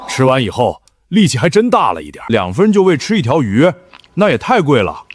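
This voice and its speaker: A man playing a blunt, hearty, chatty team veteran in an animated web drama, using slangy speech. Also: medium pitch, brisk. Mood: hearty, teasing. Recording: background music under dialogue.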